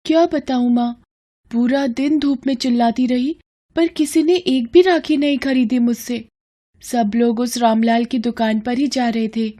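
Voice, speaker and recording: A woman's voice: on the high side, steady tone, unhurried, warm tone, voice pushed up, close to an everyday voice. A woman voicing a poor, earnest young girl street seller in a cartoon story, with an eager, sweet, childlike manner. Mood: dejected. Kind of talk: dramatised Hindi cartoon storytelling.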